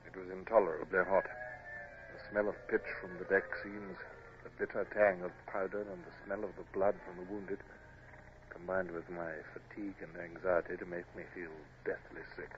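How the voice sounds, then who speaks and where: A man's voice: sits low, level tone, moderate pace, music in the background, lightly acted. An actor playing a weary naval captain, narrating his battle in the first person for a radio drama. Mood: sombre, weary. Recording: dull old radio drama recording.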